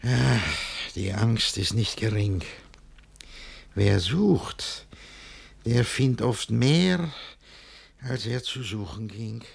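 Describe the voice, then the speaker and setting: Jealous pompous master in a radio verse play, gravelly, breathless, swings from mutter to bellow. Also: male voice, lowish voice, animated, drawn-out words, pauses often, exaggerated voice. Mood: anguished, brooding. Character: jealous, blustering middle-aged master, pompous and panicky.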